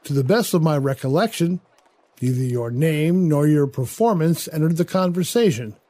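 A man's voice: a little high, expressive, moderate pace, dark tone, no room sound. A man playing an urbane, venomous theatre gossip with a precise, arch, needling delivery in a radio drama. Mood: sly needling. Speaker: voice actor in an audio drama.

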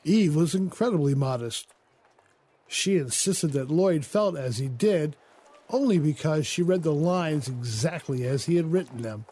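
Guy doing a snide urbane theater gossip, mellow but raspy, needling, precise, radio play. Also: on the high side, expressive, measured pace. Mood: sly needling. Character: sly, urbane, venomous male theatre gossip. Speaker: voice actor in an audio drama. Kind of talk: theatrical radio drama acting.

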